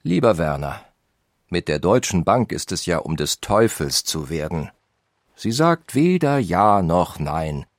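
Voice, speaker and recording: An actor reading the letters of a German industrialist brother, insistent and urging. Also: male voice, sits low, sing-song, unhurried, no echo, clean studio sound, exaggerated voice. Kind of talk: reading historical letters in a radio feature.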